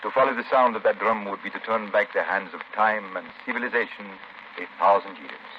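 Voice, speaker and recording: An actor playing a grave, widowed plantation master in a 1930s radio drama, speaking formally and solemnly. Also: male voice, fairly low pitch, fairly quick, close to an everyday voice. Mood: grave, foreboding. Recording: muffled 1930s radio recording, faint noise.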